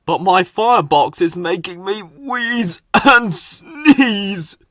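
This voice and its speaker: A man's voice: high voice, expressive, slow, bright, forceful tone, pitched above the performer's own voice, exaggerated voice. A man voicing a worried, wheezing, spluttering male steam engine in a storybook. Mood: explaining.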